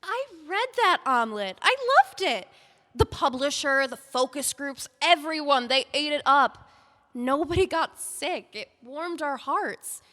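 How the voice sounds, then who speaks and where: An anxious young editor pleads urgently, as an actress plays her. Her voice is high and bright and rises and falls a lot. A long reverb hangs behind her. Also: lively intonation, unhurried, full character voice. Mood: pleading. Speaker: book editor. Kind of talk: audio drama acting.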